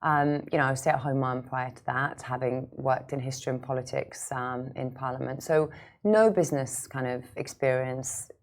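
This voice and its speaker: A woman's voice: very deep voice, moderate pace. A woman from Lithuania, a startup founder, talking as a guest in a studio interview.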